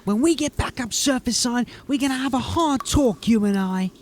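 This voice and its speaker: Woman playing a high-pitched, nervous underling. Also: normal speed, darkish tone, slight background noise, natural voice. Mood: indignant. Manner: anxious, plaintive, grumbling. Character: nervous, superstitious, whining male underling called Gunny. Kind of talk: fantasy audio drama acting.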